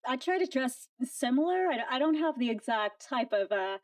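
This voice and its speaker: A woman interviewer chatting casually, with an informal, slightly halting way of talking. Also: high-pitched, lively intonation, no room sound. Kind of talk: casual chat.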